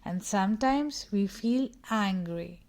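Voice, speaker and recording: A woman's voice: mid-range voice, expressive, slow pace, darkish tone. A woman reading a picture book aloud to young children in an instructive way, putting questions to her listeners.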